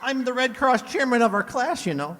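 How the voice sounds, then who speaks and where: Gawky teen schoolboy, radio comedy, excitable, blurting, extremely high voice, long hall reverb. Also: male voice, a little fast. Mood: alarmed, excitable. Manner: blurting, awestruck, earnest. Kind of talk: old-time radio comedy acting.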